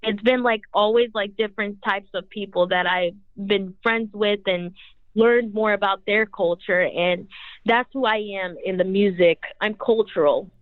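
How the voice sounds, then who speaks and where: A woman's voice: mid-range voice, normal speed, no room sound. Woman singer with tinny, phone-like audio. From Brazil. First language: Portuguese. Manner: rambling, heavy use of "like". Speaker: singer, guest. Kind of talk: interview answers.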